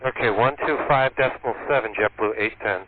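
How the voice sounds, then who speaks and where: A man's voice: mid-range voice, fairly quick. A man speaking as an airline pilot, giving brief readbacks and calls over the radio. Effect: radio transmission. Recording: tinny cockpit radio with faint hiss.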